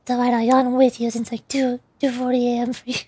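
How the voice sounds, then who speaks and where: High-voiced woman streamer, sleepy and playful. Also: completely flat delivery, fairly quick, dark tone, slightly rough, no echo. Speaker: VTuber streamer voice-acting game dialogue. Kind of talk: casual livestream chat.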